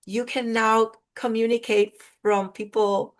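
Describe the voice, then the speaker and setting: A woman's voice: a little high, level tone. A woman whose first language is Spanish, a clinical psychologist being interviewed on a video call, speaking in careful, list-like phrasing. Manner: slow, thoughtful, list-like phrasing. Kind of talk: interview conversation.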